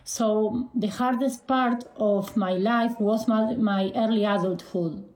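A woman in her forties from Argentina, answering interview questions in a halting way, with frequent pauses and restarts. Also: medium pitch, darkish tone, reverberant, poor audio. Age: 40s. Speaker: guest.

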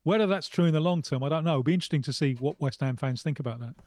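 A man's voice: a little high, racing, darkish tone, no room sound. A man giving his opinions in a casual radio discussion, speaking reflectively and repeating phrases for emphasis. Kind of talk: casual chat.

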